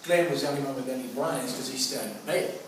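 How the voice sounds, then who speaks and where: Middle aged aussie bloke spinning a yarn, theatrical and slangy, really echoey and a bit far from the mic. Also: male voice, medium pitch, fast talker. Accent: Australian. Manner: colloquial, theatrical, acts out remembered dialogue. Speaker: storyteller. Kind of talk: animated personal anecdote storytelling. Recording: roomy, echoing, noisy distant microphone.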